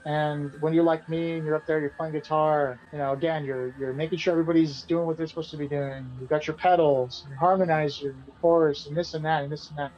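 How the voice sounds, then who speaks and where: Man, casual rambling answers, somewhat high voice, muffled lo-fi audio, faint music behind. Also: fast talker, hardly any pauses. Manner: relaxed, rambling, repeats himself. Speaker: band vocalist and guitarist being interviewed.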